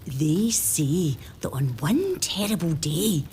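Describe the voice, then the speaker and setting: Woman playing an older Scottish nursemaid, motherly, confiding, lively melody, faint noise. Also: deep voice, slow. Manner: hushed, confiding storytelling.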